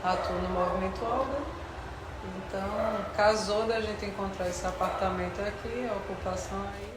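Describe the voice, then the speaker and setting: A woman's voice: mid-range voice, fairly even intonation, moderate pace. Woman, echoey and noisy. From Pernambuco, Brazil. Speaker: resident and social activist. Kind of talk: documentary interview. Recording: echoey, noisy room recording.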